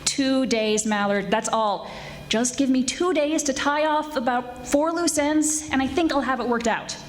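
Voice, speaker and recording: A woman playing a wisecracking private detective in 1940s radio mystery style, with brisk, wry, hardboiled delivery. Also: high-pitched, a little hiss or hum. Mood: evasive, coaxing. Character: brisk, wisecracking female private detective narrating her case. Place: live stage radio theatre performance. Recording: echoey stage recording with music.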